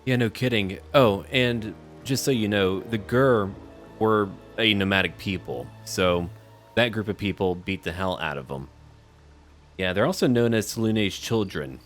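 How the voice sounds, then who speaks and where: A man's voice: on the low side, a little slow, a bit bright, faint music behind. A man doing casual video game commentary, thinking aloud and talking to his viewers.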